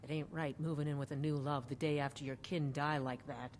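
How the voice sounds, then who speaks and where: A woman's voice: low-pitched, fairly even intonation, fairly quick, a little mellow, slight background noise, close to an everyday voice. Actress as a folksy, plain-spoken woman innkeeper. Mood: gossipy. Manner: folksy, frank.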